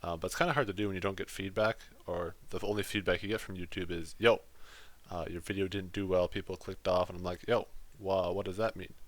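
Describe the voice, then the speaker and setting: A man hosting a gaming channel, talking through his let's play footage in a casual, rambling monotone. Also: low voice, fairly quick, dry recording. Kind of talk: let's play commentary voice-over.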